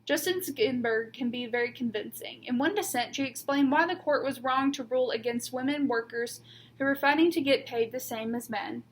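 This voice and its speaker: A woman reading a children's picture book aloud like a teacher, with deliberate emphasis on key words. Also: sits high, poor audio. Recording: noticeable background noise.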